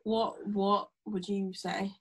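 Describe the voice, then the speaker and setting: A woman in her twenties co-hosting a podcast in casual, teasing chat, hoarse from being ill. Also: mid-range voice, little pitch movement, takes it slowly. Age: 20s. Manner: chatty, teasing, laughs often. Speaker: podcast co-host.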